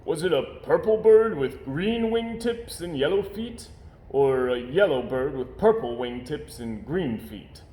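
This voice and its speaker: A bemused storybook papa bear, read by a man, asking in a puzzled way. The intonation is animated, and the room is echoey. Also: a little high, measured pace. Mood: confused. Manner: questioning, bemused. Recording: roomy echo, faint background noise.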